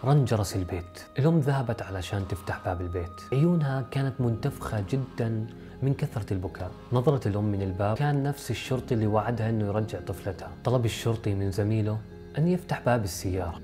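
Fast-talking young man, mellow voice. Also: fairly low pitch. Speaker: video host. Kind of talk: dramatic storytelling to camera. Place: furnished indoor room. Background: faint background music.

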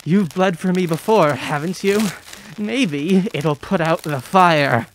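A woman playing a desperate, obsessive dying writer in an audio drama, halting and fraught as she freezes. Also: on the low side. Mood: bitter, defiant. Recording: clearly noisy background.

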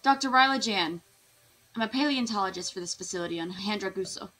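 A woman's voice: mid-range voice, fast talker, a bit bright, lightly acted, poor audio. A woman playing a composed, proud paleontologist in an audio drama, speaking in a formal, explanatory way. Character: composed, proud female paleontologist at dinosaur facility. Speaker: actress in a fan audio drama. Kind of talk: full-cast audio drama acting.

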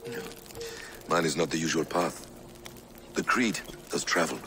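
An actor playing a grave, solitary warrior assassin, speaking in a measured, solemn way in a video game. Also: male voice, deep voice, expressive, background noise. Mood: wistful. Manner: measured, solemn, sparing with words. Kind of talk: video game cutscene acting. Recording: loud game music behind.

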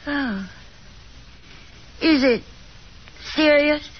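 A woman's voice: high voice, very slow. An actress playing a middle-aged, genteel and overbearing Southern mother in a 1950s radio drama, theatrical and fussy. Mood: wounded politeness. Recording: dull, noisy 1950s radio broadcast.

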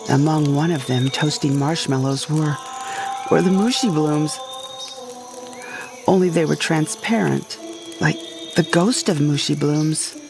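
A woman's voice: low voice, measured pace, creaky, long pauses, music in the background. A woman playing a wry, curious retired space heroine who narrates a comic sci-fi story with dramatic flair.

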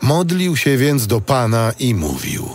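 A man playing a solemn narrator, reading a scripted audio Bible in a measured way. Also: mid-range voice, huge pitch swings, vocal fry, a little mellow, heavily acted. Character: solemn male biblical narrator.

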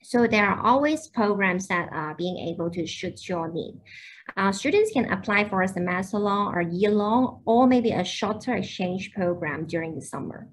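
A woman's voice: mid-range voice, lively intonation, normal speed, rough recording. A young woman hosting a university admissions webinar over a video call, explaining programmes with frequent fillers.

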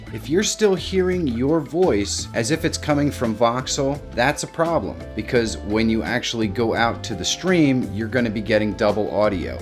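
A middle-aged man hosting a casual step-by-step software tutorial. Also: medium pitch. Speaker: tutorial host. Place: small home room, indoors.

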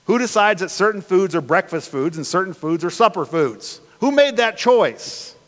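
An older man preaches from a pulpit, asking his congregation one rhetorical question after another in an easy, conversational way. His voice sits a little high for a man. The sound comes from a camera at the back of the sanctuary, so long church reverb trails every phrase. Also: lively intonation, moderate pace. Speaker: pastor. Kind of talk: sermon. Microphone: far camera at the back of the church.

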